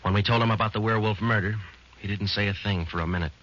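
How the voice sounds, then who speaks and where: An actor playing a terse, matter-of-fact police sergeant in a 1940s radio drama. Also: male voice, on the low side, fairly even intonation, quick pace, fairly bright tone, slight background noise, close to an everyday voice. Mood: deadpan, grave. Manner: clipped, matter-of-fact, understated. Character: terse, businesslike police sergeant narrating his cases. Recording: dull old radio recording with music behind.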